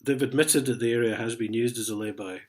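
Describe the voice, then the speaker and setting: A man's voice: medium pitch, fairly even intonation, fast. A man with a sniffly head cold, chatting in a sarcastic vlog and chuckling as he reads letters aloud. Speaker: vlogger. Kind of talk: casual ranting vlog.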